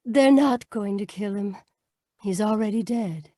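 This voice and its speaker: A woman's voice: mid-range voice, unhurried, mellow, dry recording, studio quality, natural voice. An actress playing a cool, haughty rich woman in an old-time radio mystery, formal and curt. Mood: curt denial. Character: cool, haughty wealthy woman, dismissive of the detective. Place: home, live video call performance.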